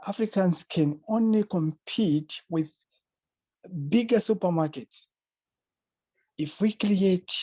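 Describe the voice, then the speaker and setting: A man's voice: on the high side, dark tone, no echo. A young man from Zambia, a participant asking a question in an online meeting, earnest and repeating words as he finds his phrasing. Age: young adult. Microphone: video call.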